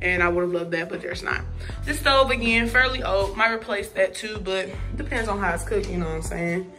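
A woman's voice: mid-range voice, brisk, bright, forceful tone, faint music behind. A woman vlogger giving a casual, chatty tour of her new apartment, talking straight to her viewers with informal slang while filming on a phone. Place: empty apartment indoors. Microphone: phone camera held while walking.

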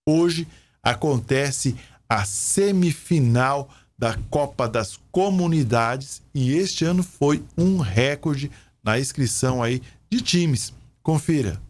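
A man hosting a local TV news segment, introducing the story and asking brisk leading questions. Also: mid-range voice, expressive, darkish tone. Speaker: TV news host and interviewer. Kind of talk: news presenting and interview questions.

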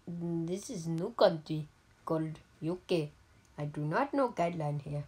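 A gaming YouTuber with an Indian accent, giving deadpan, halting comedic commentary over mobile gameplay. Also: female voice, sits low, breathy edge. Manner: deadpan, halting, joking persona.

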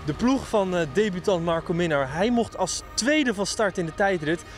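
Higher-pitched male field reporter. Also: expressive, moderate pace, soft background music. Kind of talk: on-location reporting and interviewing. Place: outdoors in street. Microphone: handheld mic.

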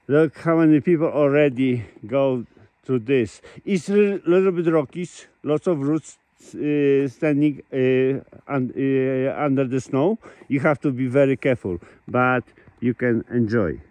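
A man with as a giving slow, enthusiastic commentary into a phone while filming outdoors at a ski hill and a mountain river. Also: mid-range voice, darkish tone, dry recording. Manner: slow, enthusiastic, simple phrasing with pauses. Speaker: vlogger.

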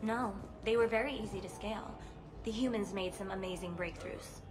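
An actress as an alien woman in a video game, businesslike and composed. Her mid-pitched voice is matter-of-fact, set in clearly audible background noise. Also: normal speed, lightly acted. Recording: noisy ambience, distant game dialogue.

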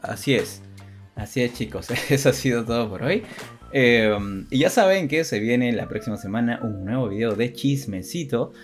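A man's voice: mid-range voice, lively intonation, moderate pace. Young man chatting hesitantly over faint music. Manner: hesitant, repeats phrases. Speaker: co-host of an online chat show. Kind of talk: casual chat to viewers.

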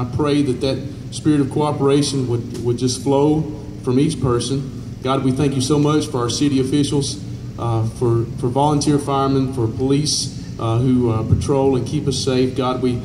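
Over an echoing, noisy outdoor sound system, a male pastor speaks. His delivery is earnest and halting, in a middle-pitched voice. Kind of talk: informal public remarks and prayer. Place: covered outdoor festival stage. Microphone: handheld mic through PA, distant camera. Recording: echoey outdoor PA, noisy ambience.

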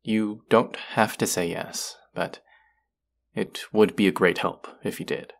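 A man's voice: lowish voice, fairly even intonation, a little slow, creaky. A young man acting as an earnest, wry young vampire in an intimate audio roleplay drama. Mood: earnest pleading.

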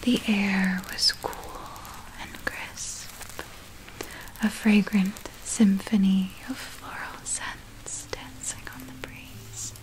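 A woman voicing a seductive, predatory vampire in a breathy ASMR whisper, telling a story with slow, lingering, deliberate phrasing. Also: mid-range voice, level tone, low-quality recording. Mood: wistful, savoring. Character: seductive, predatory vampire thief recounting nocturnal prowls.

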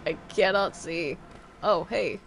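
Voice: medium pitch, slow, vocal fry, a bit bright. A player chatting casually and hesitantly during a multiplayer game roleplay session. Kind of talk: casual gameplay chat with roleplay. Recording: noisy home game recording.

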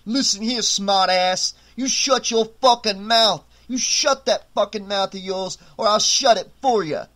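A man acts out a bullying hotel supervisor who snarls threats at his staff. The voice sits high and hard-edged, and every line is pure contempt. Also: measured pace, fairly bright tone. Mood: threatening. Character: menacing brutish male hotel supervisor, bullying.

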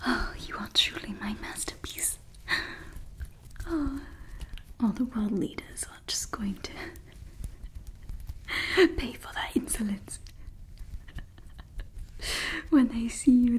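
A woman's voice: a little high, darkish tone, slightly rough. A woman voicing a doting, sinister female evil scientist in an ASMR roleplay, mixing fawning praise with offhand menacing asides. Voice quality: whispered. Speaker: ASMR performer.

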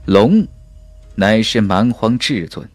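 A man's voice: fairly low pitch, animated, slow pace, no room sound. A man reading a fantasy audiobook as a scripted, dramatic narration with emphatic storytelling. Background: background music.

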